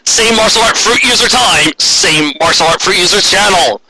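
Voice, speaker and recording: A man's voice: sits high, normal speed, a crowd in the background, rough recording. A man reading fan fiction aloud in a theatrical, comedic style with an exasperated, hammy manner.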